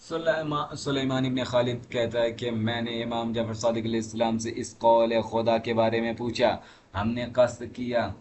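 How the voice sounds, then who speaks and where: A man's voice: medium pitch, flat delivery, moderate pace, a little mellow. A man giving a religious lesson, reading aloud and explaining in an emphatic, didactic manner as a teacher. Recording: clearly noisy recording with background ambience.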